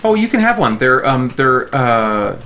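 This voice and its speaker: A man's voice: medium pitch, very animated, unhurried, bright, forceful tone, a little hiss or hum. A man streaming a game, chatting casually and muttering to himself in a distracted way. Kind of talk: casual chat while gaming on a live stream.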